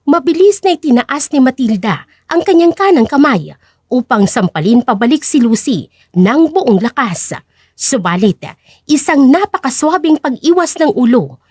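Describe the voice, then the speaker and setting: A woman narrates a scripted drama story. Her voice is high, with lively swings in pitch. The recording is dry and free of room sound. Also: normal speed, breathy edge, dry recording.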